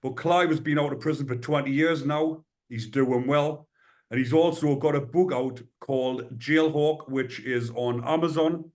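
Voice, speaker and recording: A man's voice: a little high, a little mellow. A man hosting a podcast, asking loosely phrased, chatty questions. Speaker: podcast host. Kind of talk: interview questions and introductions.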